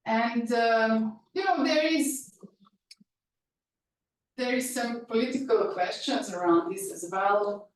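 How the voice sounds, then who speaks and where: A woman's voice: on the high side, slow, pauses often. Hesitant woman presenting in an echoey room. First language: Hungarian. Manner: hesitant, many fillers and restarts. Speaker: research presenter. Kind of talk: academic talk and Q&A. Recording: echoey room, speaker far from mic, faint noise.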